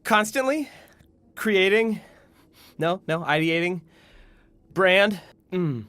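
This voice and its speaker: An anxious would-be comic in an audio comedy. His voice is highish and sharp, babbling jitteringly in a very dry recording. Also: lively intonation, slow pace, no echo, heavily acted. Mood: nervous. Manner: jittery, rambling, nervous chuckling. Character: nervous, babbling male copywriter and would-be comedian.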